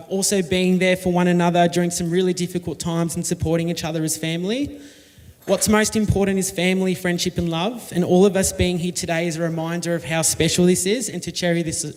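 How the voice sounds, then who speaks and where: A man giving a jokey, reminiscing wedding toast to his friends. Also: high-pitched. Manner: reminiscing, jokey, read from notes. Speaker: wedding speaker, friend of the couple. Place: wedding reception hall. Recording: long hall reverb.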